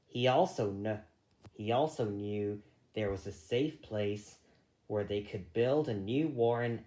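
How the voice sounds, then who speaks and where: A man reading a children's story aloud, a little halting, going back over misread phrases. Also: on the low side, echoey room, noisy background. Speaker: storybook reader.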